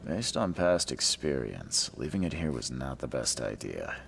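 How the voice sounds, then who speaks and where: An actor playing a grim, hard-bitten middle-aged detective in a video game, with a dry, sardonic delivery. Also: male voice, a bass voice, big pitch swings, unhurried, vocal fry, fairly bright tone, exaggerated voice. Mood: wry grim. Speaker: game protagonist, private investigator. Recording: game audio with background noise.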